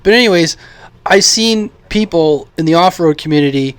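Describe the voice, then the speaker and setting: American man, slightly high voice, dry close sound, informal rambling vlogger, opinionated hobbyist talk. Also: lively intonation, moderate pace, a bit bright, clean tone, no room sound. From United States. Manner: informal, blunt, rambling with asides. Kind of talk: casual opinionated vlog monologue.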